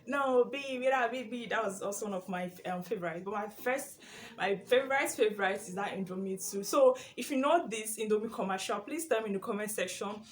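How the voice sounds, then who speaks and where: A young Nigerian woman with an Igbo first language, chatting casually through a question tag video in a lively, rambling way. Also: mid-range voice, brisk. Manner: chatty and animated, with frequent fillers and laughs. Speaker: vlogger.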